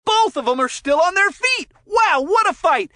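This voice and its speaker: A woman voicing an excitable, folksy, cheeky hockey commentator in a cartoon sports video game. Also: very high voice, moderate pace, no room sound, clean studio sound, heavily acted. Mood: excited. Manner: folksy, exclamatory, coaching slang.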